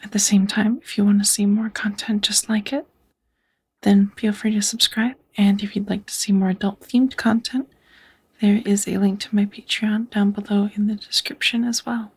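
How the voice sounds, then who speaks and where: A woman who makes ASMR audio, addressing her listeners in a soft, whispery, breathy voice. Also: mid-range voice, flat delivery, normal speed.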